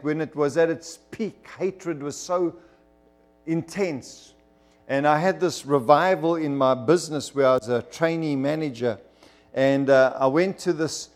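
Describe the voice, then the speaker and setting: A middle-aged man from Zimbabwe, preaching a sermon as emphatic storytelling with bursts of fervor. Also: a little high. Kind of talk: sermon testimony to a congregation.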